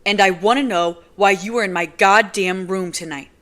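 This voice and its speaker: A woman voicing a furious young man in an audiobook, loud and heated as he demands answers. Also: fairly low pitch, slow.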